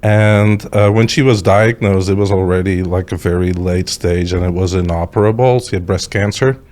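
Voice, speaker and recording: Low-pitched middle-aged Belgian man. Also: steady tone, moderate pace, a little mellow. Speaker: podcast guest. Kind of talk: personal storytelling in conversation.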